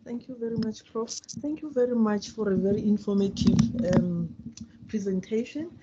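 A woman's voice: mid-range voice, moderate pace, very noisy. A woman attending an online seminar, politely giving a formal comment. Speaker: seminar participant. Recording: muffled video call audio.

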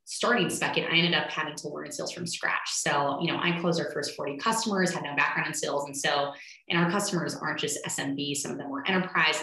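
A woman's voice: sits low, bright, forceful tone. A woman in her thirties from Switzerland, a startup founder talking fluently and matter-of-factly on a webinar panel over a video call. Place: home room, indoors. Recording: echoey room on a video call.

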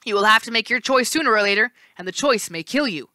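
Woman voicing a mocking two-faced male god, raised, bright, sneering, bickering with himself, storybook reading. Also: a little high, lively intonation, fast talker, no room sound, studio quality, pitched above the performer's own voice. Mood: taunting. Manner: teasing, sneering, squabbling with himself.

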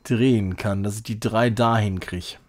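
A man's voice: on the low side, steady tone, unhurried. A man giving casual live game commentary as a streamer, thinking aloud in short muttered phrases. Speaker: streamer playing a retro puzzle game.

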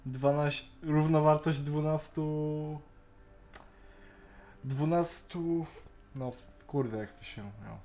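A man's voice: fairly high pitch, steady tone, slow pace, long pauses, mellow. A man chatting casually on an online call, often stammering and repeating the starts of words. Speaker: co-host on a Skype call. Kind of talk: casual chat reviewing an animated series.

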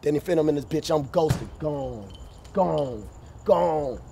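A man's voice: on the high side, expressive, slow, creaky, warm tone, a little hiss or hum. Playful young man riffing jokes. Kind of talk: casual banter.

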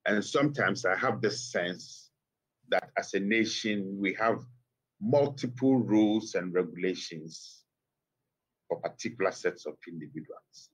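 A middle-aged man with a Ghanaian accent, a guest speaking by phone in a TV interview, measured and emphatic with pointed rhetorical questions. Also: low-pitched, breathy edge, low-quality recording. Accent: Ghanaian English. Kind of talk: TV interview commentary. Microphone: phone line.

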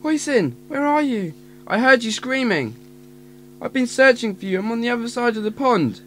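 An actor playing Leroy, a bright-voiced, earnest young child. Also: mid-range voice, lively intonation, moderate pace, slight background noise, close to an everyday voice. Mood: anxious. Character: Leroy, clever, cautious five-year-old child adventurer. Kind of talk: radio drama acting.

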